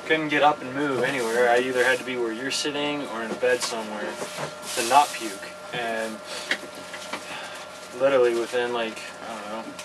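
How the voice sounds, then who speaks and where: Blunt fed up guy on a boat, away from the mic, very loud background rumble. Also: little pitch movement, moderate pace. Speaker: boat crew member being interviewed. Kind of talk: casual interview answers. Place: inside a small boat. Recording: distant mic, very noisy boat cabin.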